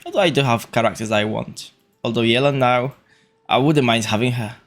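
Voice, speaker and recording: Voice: medium pitch, fairly quick, soft background music. A video game streamer giving casual, off-the-cuff livestream commentary, muttering reactions to the game.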